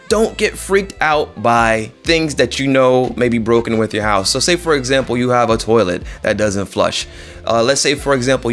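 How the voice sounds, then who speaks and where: A man's voice: medium pitch, fairly bright tone. Guy doing a casual realtor advice talk straight to viewers, mid-range voice, stresses points by repeating, music behind him. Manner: emphatic, repeats phrases for stress; conversational, addresses listeners directly. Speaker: real estate agent.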